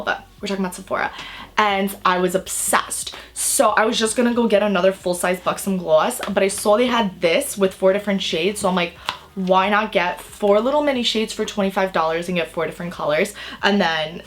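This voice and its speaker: A young woman vlogging about makeup she bought, her voice raspy and hoarse from a cold, chatting in a bubbly, rambling way. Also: medium pitch, a bit bright. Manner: bubbly, rambling, lots of "like" and "really, really". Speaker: beauty vlogger. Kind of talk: casual chatty haul video. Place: indoors under filming lights.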